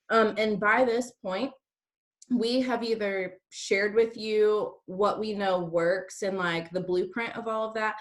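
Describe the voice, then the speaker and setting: Woman, early 30s, mid-range voice. Team leader giving a casual, chatty motivational talk to an online group. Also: mid-range voice. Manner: chatty, peppered with "like". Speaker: direct-sales team leader co-hosting a training.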